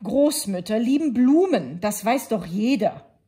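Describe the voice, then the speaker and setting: A woman voicing a sly, hungry male wolf in a storybook, talking high and pushy. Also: expressive, slow, breathy edge, full character voice. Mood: eager.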